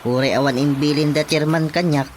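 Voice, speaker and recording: Actor as dutiful village watchman, Ilocano Filipino accent, chatty radio-comedy delivery, slightly high voice, faint noise. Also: steady tone, brisk, lightly acted. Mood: earnest, gossipy. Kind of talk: comedic radio drama acting.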